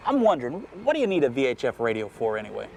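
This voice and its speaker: A man's voice: mid-range voice, wildly swooping pitch, rapid-fire, creaky, a little mellow, slight background noise, low-quality recording. A man hosting an instructional how-to show, presenting in a casual, explanatory way outdoors. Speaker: TV show host. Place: outdoors on a boat.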